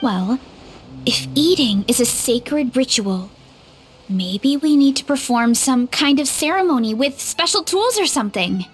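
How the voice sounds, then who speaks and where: A woman voicing a friendly, easygoing young woman in a video game, gentle and unhurried. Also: high-pitched, lively intonation, faint music behind. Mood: sweet.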